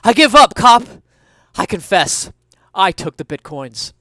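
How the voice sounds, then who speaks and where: A cornered banker thief in a parody crime tale, put on by a male reader. His voice jumps high and loud, frantic and pleading, in a dry, close recording. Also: sing-song, a little slow, dry recording, full character voice. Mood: panicked.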